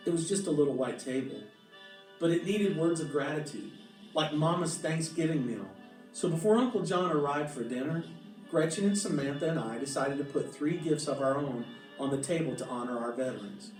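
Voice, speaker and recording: A man reading a picture book aloud in a measured, expressive storytelling manner, with deliberate pauses. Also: on the high side. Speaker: storybook reader. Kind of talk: scripted picture-book narration read aloud. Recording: roomy echo, distant mic, music behind. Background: music.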